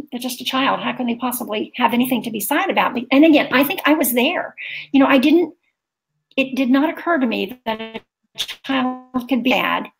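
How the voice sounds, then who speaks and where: Earnest higher-voiced woman with gravelly edge. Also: rough recording. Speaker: guest, children's book author. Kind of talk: casual interview chat.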